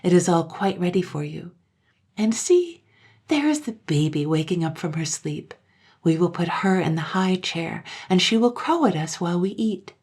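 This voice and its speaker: A woman voicing a loving, gentle mother who speaks to small children with tender, coaxing concern in a storybook. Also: mid-range voice, big pitch swings, a little slow, husky, pitched above the performer's own voice, heavily acted.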